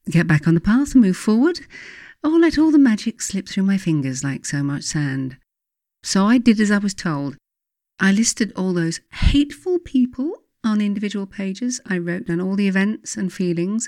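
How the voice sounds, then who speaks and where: A woman with an English accent reading her own book aloud as first-person narration, confiding and expressive, with emphatic asides. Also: sits low, dry recording, clean studio sound. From England.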